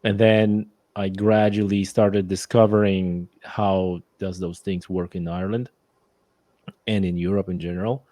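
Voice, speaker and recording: Polish-accented male guest, low register, halting casual delivery. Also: little pitch movement, warm tone, no echo. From Poland. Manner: hesitant, restarts phrases, non-native grammar. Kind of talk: casual conversation.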